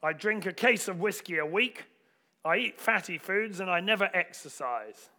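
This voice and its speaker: A man's voice: high voice, animated, moderate pace, sharp tone, heavily acted. A man voicing a wizened, unrepentant little old man in a joke, matter-of-fact.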